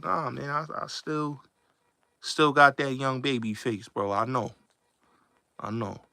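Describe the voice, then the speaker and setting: A man in his late twenties talks straight to his viewers, leaning on emphasis and saying the same points again and again. His tone is bright and forceful. The recording is dry and close, with no room sound. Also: medium pitch, vocal fry, no room sound. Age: late 20s. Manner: emphatic, repetitive, addresses viewers directly. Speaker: host. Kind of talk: casual livestream commentary. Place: New York.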